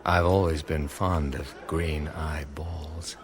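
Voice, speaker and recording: An actor playing a suave, roguish gambler and cheat in a radio drama, with an insinuating, knowing delivery. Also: male voice, rumbling low, steady tone, slow pace, a faint crowd. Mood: coaxing, sly. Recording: music playing behind the voice.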